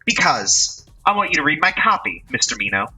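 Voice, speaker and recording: A man voicing a cheerful, eager young woman writer in a raised falsetto-like voice. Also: big pitch swings, a little fast, bright, forceful tone, slightly rough, faint music behind, exaggerated voice. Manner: high, bubbly, insistent.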